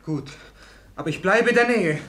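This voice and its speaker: A man's voice: high voice, animated, moderate pace, fairly bright tone, slight background noise. An actor playing a nervous, fragile, boyish young man in a 1950s German-language radio play. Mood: defiant. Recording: 1950s radio drama recording.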